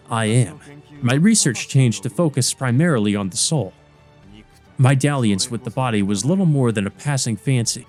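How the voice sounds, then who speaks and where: A man's voice: medium pitch, darkish tone, natural voice. A man playing a cold, scholarly male vampire villain in a visual novel, speaking formally and with disdain.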